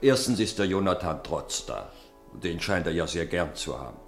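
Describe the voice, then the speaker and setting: An actor playing a poor, gruff but tender-hearted father in a 1950s radio play. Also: low voice, lively intonation, moderate pace. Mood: reassuring. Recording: roomy old radio recording with faint music.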